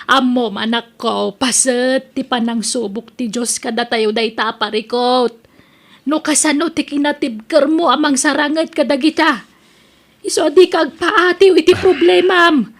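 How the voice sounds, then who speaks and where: A woman's voice: sits high, a little slow. A woman playing an older, pious mother who earnestly scolds and advises her grown son in an Ilocano radio drama, with an Ilocano accent. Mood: earnest, admonishing.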